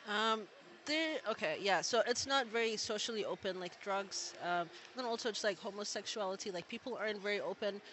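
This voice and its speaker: A young man from Kenya chatting as an interview guest, relaxed and talkative, with plenty of "like" fillers. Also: high voice, brisk, slight background noise, low-quality recording. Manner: relaxed, chatty, many "like" fillers, laughs easily. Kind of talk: casual interview conversation.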